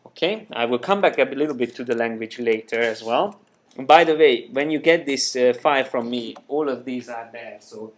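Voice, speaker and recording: A man whose native language is Hungarian, teaching a live software class, explaining loosely with many fillers and restarts. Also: medium pitch. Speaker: software trainer. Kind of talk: live screencast class with an audience.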